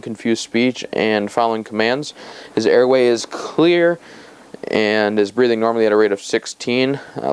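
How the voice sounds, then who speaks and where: Low, clear-voiced man reporting like a paramedic. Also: a little mellow. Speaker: paramedic giving patient report. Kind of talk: clinical patient handoff report.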